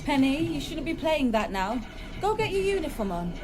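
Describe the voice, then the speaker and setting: High-voiced, lively, caring nanny. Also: female voice, normal speed. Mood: brisk. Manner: gentle, careful, reassuring. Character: caring, tactful live-in nanny. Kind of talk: naturalistic radio drama acting.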